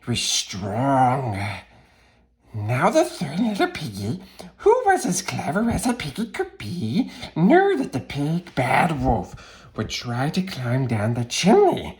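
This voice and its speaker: Casting: wretched gleeful cave creature reading a storybook, raspy, extremely animated pitch, slow and drawn out. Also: male voice. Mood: excited, theatrical. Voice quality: raspy, strained, swooping between growl and squeal. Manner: muttering asides to self, exclaiming, distorted pet words. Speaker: reader, host of the series. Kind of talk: theatrical children's storybook reading in character.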